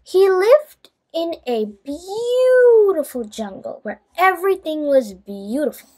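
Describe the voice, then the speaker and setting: Lady reading a kids story, super up and down intonation, slow and expressive. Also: very high-pitched. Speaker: storyteller, channel host. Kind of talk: children's bedtime story read aloud.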